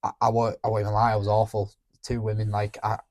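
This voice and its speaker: Young man, low voice, flat delivery, dry close sound, hesitant and reflective. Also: dry recording. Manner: halting, reflective, many restarts. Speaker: podcast co-host. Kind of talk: candid emotional conversation.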